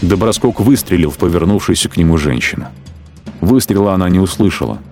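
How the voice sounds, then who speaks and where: A man's voice: deep voice, expressive, fast, a little mellow. A man playing a sombre narrator in a scripted war audio drama. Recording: music behind the voice.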